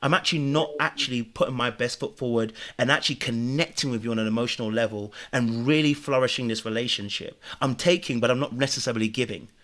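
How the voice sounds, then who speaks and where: A man's voice: medium pitch, quick pace, hardly any pauses, fairly bright tone. A man giving earnest, preachy relationship advice as a guest on a podcast chat. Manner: earnest, preachy, speaks in lessons.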